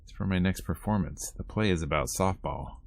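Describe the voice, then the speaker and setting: A man's voice: deep voice, a little fast, vocal fry, darkish tone, dry recording. A man voicing a guarded, teasing teenage student actress in a visual novel. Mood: matter-of-fact.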